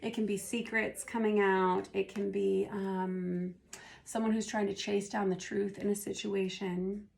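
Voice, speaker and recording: A hoarse woman who is losing her voice, doing a casual tarot reading and thinking aloud as she turns the cards. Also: medium pitch, level tone, a little slow, darkish tone. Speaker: tarot reader.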